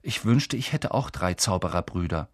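A man voicing a quiet, modest eleven-year-old boy, close to his own voice. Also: deep voice, lively intonation, moderate pace, no echo.

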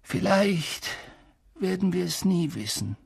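A reader voicing a calm elderly male headmaster, speaking soft, measured and grave in an audiobook. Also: gravelly, breathy edge, no echo, clean studio sound, heavily acted. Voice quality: soft, low-key and measured. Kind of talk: audiobook character reading.

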